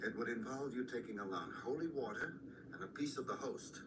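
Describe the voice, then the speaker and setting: An actor playing an earnest schoolteacher in a radio drama, speaking in a terse, probing way. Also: male voice, mid-range voice, fairly quick, natural voice. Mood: urgent, earnest. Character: earnest convalescent male schoolteacher seeking help against vampires. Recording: distant, noisy replay of radio drama.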